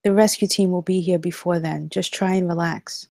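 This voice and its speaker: Female flight attendant in a crisis, read by an actress: lowish mellow voice, close dry sound, steadying and earnest. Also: fairly even intonation, moderate pace, creaky, no echo. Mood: reassuring. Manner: steadying, earnest, quietly persistent. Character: shaken but caring young female flight attendant. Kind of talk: acted table read of a film script.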